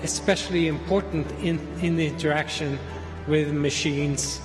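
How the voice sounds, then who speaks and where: A man speaking about a topic in a slow, halting way with many hesitations. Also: fairly high pitch, steady tone, in a large hall, over music. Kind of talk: lecture-like talk.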